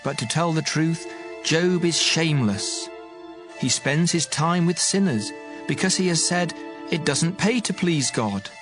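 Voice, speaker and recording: A man's voice: on the high side, expressive, a little slow. An actor playing an earnest young man who admonishes his elders in an emphatic, declamatory way, in a dramatized audio Bible. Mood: firm, admonishing. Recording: music behind the voice.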